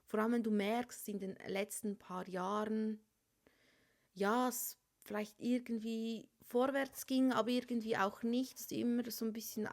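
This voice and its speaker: A woman giving a tarot reading for a star sign, speaking softly and often dropping into a whisper over the cards. She has a cold. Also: fairly high pitch, steady tone, a little slow. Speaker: tarot reader.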